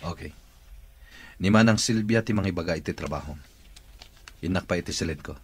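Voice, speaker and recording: A man's voice: sits low, fairly quick, breathy edge. A man playing an irritable, suspicious boss in a wheelchair in an Ilocano radio comedy-drama. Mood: curt, suspicious.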